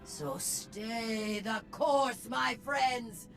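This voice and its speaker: Bold, high-pitched rebel gang queen. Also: creaky, fairly bright tone, soft background music, heavily acted. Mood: rousing, proud. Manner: declamatory, deliberate, rallying. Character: bold, charismatic female gang leader and rabble-rousing revolutionary. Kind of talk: theatrical video game speech.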